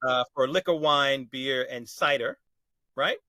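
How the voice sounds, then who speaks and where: A man's voice: mid-range voice, unhurried, sharp tone, no echo. A man chairing a community board meeting over a video call, polite and formal, often restarting his sentences. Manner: polite, formal, addresses speakers as sir. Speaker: committee chair.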